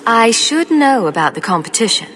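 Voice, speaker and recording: A woman's voice: fairly high pitch, big pitch swings, moderate pace, a bit bright. An actress playing a self-assured female adventurer in a fantasy video game, direct, composed and probing. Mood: confident.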